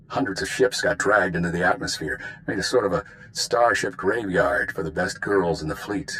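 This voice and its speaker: An actor playing a curt, secretive intelligence spymaster in a video game, briefing in a stern, matter-of-fact way. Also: male voice, sits low, a bit bright, slight background noise, low-quality recording. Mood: businesslike. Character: curt, secretive Republic intelligence spymaster man giving orders. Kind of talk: dramatic video game voice acting.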